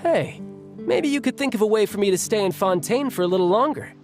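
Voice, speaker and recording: An actor playing a cocky, playful young warrior in a video game, talking in a casual, teasing way. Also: male voice, high-pitched, animated, a little fast, a little mellow, soft background music. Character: cocky, playful young male warrior, battle-hungry harbinger.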